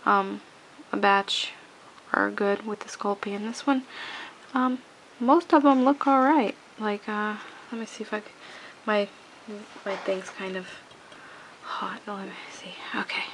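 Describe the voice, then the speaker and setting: Soft-spoken sniffly woman with a gravelly edge. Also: mid-range voice, slight background noise, poor audio. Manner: rambling, halting, with many ums and restarts. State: sniffly with a cold. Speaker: craft tutorial maker. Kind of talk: casual hands-on tutorial. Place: home craft table, indoors.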